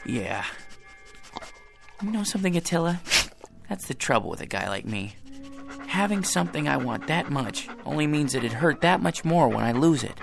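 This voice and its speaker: An actor playing a timid, plaintive husband of a movie star in a radio drama. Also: male voice, on the high side, lively intonation, normal speed, vocal fry, pauses often, music in the background. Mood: wistful. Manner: timid, plaintive, self-pitying. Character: meek, lovesick husband of a star.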